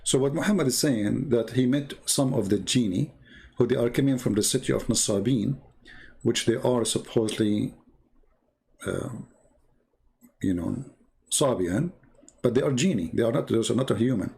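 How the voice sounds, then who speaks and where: A man with a gravelly, creaky voice and non-native English presents an informal, polemical commentary. He sits at a slightly low pitch for a man and tells stories with sardonic mockery, often halting and repeating himself as he goes. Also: darkish tone. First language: not English. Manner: sardonic, mocking. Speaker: commentator presenting religious texts. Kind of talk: informal polemical commentary, retelling and reading aloud.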